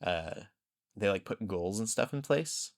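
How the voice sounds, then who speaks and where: Guy cohosting a podcast, lowish voice, animated rambly storytelling, super casual. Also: measured pace, creaky. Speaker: podcast host.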